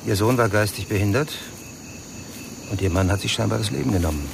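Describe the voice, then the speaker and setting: An actor playing a sceptical, confrontational man with a gravelly voice in a radio crime drama. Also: lowish voice, a little fast, background noise. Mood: cool, accusing.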